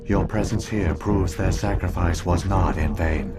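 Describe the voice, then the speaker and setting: An actor voicing an ancient alien artificial intelligence in a video game, speaking in a formal, measured, detached way. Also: male voice, deep voice, over music. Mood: solemn, instructive. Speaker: exposition-giving game character. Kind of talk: scripted video game dialogue.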